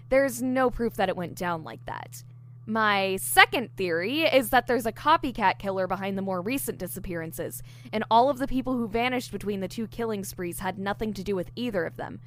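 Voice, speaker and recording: A woman's voice: mid-range voice, lightly acted. A woman playing an eager, diligent newly hired investigator in an audio drama, explaining things earnestly. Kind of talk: audio drama dialogue, explaining case theories.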